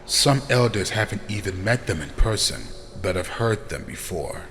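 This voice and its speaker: A man playing a solemn, formal vampire elder with measured delivery in a fantasy audio drama. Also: lowish voice, lively intonation, a bit bright. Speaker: voice actor. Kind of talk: scripted fantasy character dialogue. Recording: long reverb, faint noise.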